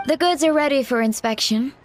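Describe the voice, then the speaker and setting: A woman's voice: sits high, brisk, faint music behind, lightly acted. A woman voicing a polite, reserved female attendant in a video game, courteous and composed. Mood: calm. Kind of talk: animated video game voice acting.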